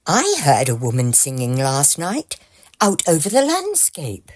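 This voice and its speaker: Knowing young troupe member woman acting, period audio drama: lowish voice, very swooping intonation, suspicious. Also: huge pitch swings, a little slow, no room sound, heavily acted. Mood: intrigued.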